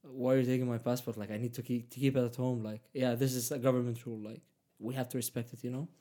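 A young man whose first language is Arabic, speaking English in an easy conversational way. He stops and restarts often and pads his sentences with fillers. His pitch sits at an ordinary middle level. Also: little pitch movement, fast, warm tone. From Palestinian, raised in Egypt. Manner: hesitant, many fillers and restarts. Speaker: guest. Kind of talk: casual conversation.

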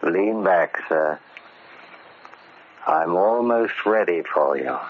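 An actor playing a vengeful ex-convict posing as a deferential, bookish manservant, speaking formally and measuredly with polite menace in old radio horror style. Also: male voice, low voice, expressive, slow pace, full character voice. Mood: quietly ominous. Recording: muffled, noisy old radio recording.

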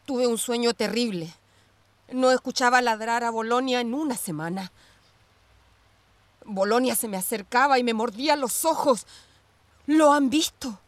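An actress playing a troubled, evasive former police commander, defensive and theatrical, in a radio drama. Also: female voice, fairly high pitch, measured pace, long pauses, no echo, natural voice. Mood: anguished, wistful. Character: troubled, evasive ex-police commander woman mourning her dogs. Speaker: actor in a radio play.

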